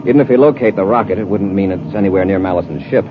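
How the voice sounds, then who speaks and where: A man's voice: deep voice, rapid-fire, natural voice. An American man playing a brave, decisive space patrol commander in a 1950s radio adventure serial, clipped and authoritative. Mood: calm, authoritative. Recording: muffled, noisy 1950s radio recording.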